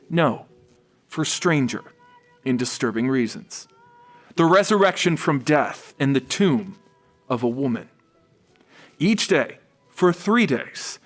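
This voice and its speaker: A young man playing a grave radio announcer in a poetic audio drama, speaking in a solemn, deliberate and portentous way. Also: mid-range voice. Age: young adult. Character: grave male radio announcer of ominous events. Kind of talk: poetic scripted radio play narration.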